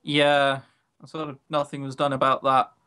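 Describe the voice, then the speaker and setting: Male podcast co-host, mid-pitched voice, casual and opinionated, sarcastic grumbler who swears freely. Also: completely flat delivery, unhurried, pushed and bright, smooth.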